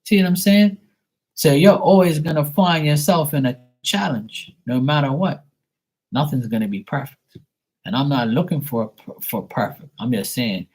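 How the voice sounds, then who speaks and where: A man from Bermuda speaks in a husky, slightly breathy voice at an ordinary pitch. He rants bluntly and profanely to his live stream audience. His talk rambles on, casual and unscripted. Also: darkish tone.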